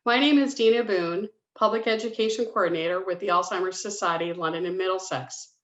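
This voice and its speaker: A woman's voice: medium pitch, a little fast, fairly bright tone. Female webinar host reading a script, roomy echo, dull lo-fi video-call sound. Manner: reads from a script, businesslike. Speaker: public education coordinator and webinar host.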